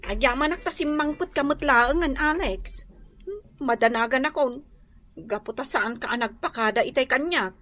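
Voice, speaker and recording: A woman with a Filipino accent playing an expressive, questioning young wife in a radio comedy drama, sing-song and exclamatory. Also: sits high, moderate pace, faint music behind. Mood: teasing.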